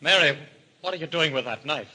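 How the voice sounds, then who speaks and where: An actor playing a frightened husband, urgent and pleading, in a 1940s radio thriller. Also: male voice, on the high side, lively intonation, fairly quick, close to an everyday voice. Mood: panicked fear. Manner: urgent, commanding.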